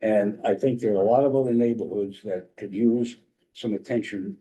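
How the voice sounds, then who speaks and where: A man with a deep, very dark and mellow tone argues bluntly in a committee debate. He sounds matter-of-fact and pushes his point without softening it. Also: normal speed, rough recording. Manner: blunt, argumentative. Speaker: committee member. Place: community meeting room.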